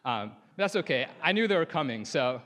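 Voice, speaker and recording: A man's voice: fairly high pitch, big pitch swings, a little fast, a bit bright. Sudanese man giving a casual tech talk. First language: Arabic. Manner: casual, lots of "like" and "you know" fillers. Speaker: conference speaker. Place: conference stage.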